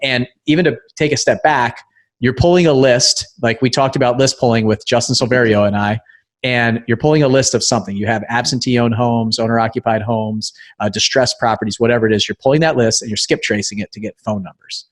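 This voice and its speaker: Man, podcast host, medium pitch, casual explanatory interview talk. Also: steady tone, fairly quick.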